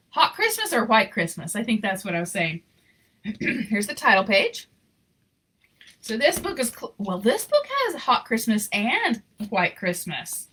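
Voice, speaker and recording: A woman reading a children's picture book aloud in an expressive, informal way with chatty asides. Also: mid-range voice. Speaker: story reader.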